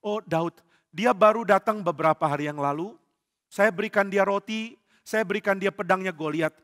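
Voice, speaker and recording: A man voicing an old, gentle priest who speaks softly and pleads. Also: sits high, normal speed. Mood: pleading. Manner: soft, pleading. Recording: long hall reverb.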